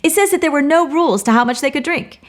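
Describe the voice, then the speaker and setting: A woman's voice: sits high, animated, fast talker. A woman teaching a Bible study, speaking in an earnest, personal way with touches of light humor.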